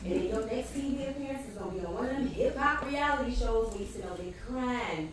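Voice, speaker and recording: A distant, noisy recording from an echoing stage. An actress plays a no-nonsense woman in a drama, fairly high-pitched, lecturing her friends with sass and skepticism. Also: expressive, quick pace, natural voice. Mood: skeptical, scolding. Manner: preachy, sassy and emphatic. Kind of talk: stage play acting. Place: large theatre stage, recorded from far off.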